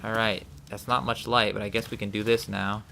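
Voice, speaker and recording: Gravelly, lower-voiced man, let's play host, casual thinking-aloud commentary. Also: level tone, fairly quick, bright, forceful tone, slight background noise. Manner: thinks aloud, reads in-game notes aloud.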